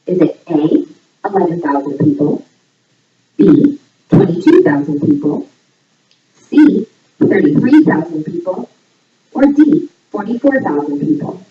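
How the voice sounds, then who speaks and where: A woman reading a scripted voice-over like a playful quiz presenter, setting out each line clearly. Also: very animated, a little slow, pauses often. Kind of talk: scripted voice-over narration, trivia quiz for a fundraiser. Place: voice audio played back in a room during filming. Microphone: far camera picking up played-back audio. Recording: echoey, distant, muffled low-quality playback.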